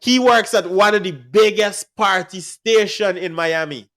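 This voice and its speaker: A man with a Guyanese accent, hosting a casual music industry chat and telling animated stories with frequent laughs. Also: sits high, a little slow. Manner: animated storyteller, laughs often. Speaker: podcast host.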